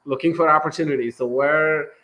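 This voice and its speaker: A man's voice: on the high side, fairly even intonation, fairly quick, pushed and bright, low-quality recording. A man from Pakistan, a startup founder, talking as a guest in a casual remote interview, earnest and thankful, with loose restarts.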